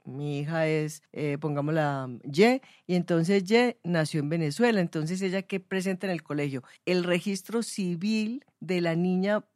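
A woman's voice: on the low side, moderate pace, hardly any pauses, a little mellow, dry recording, clean studio sound. A woman, hosting an interview, recapping points and speaking directly to listeners in a conversational way. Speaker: host.

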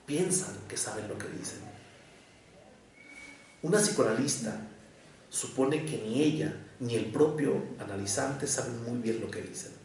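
A man from Mexico with a Mexico City and Jalisco accent, a psychoanalyst giving a measured, reflective lecture to an audience. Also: medium pitch, vocal fry. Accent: Mexican, Mexico City mixed with Jalisco. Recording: echoey hall, voice from far off.

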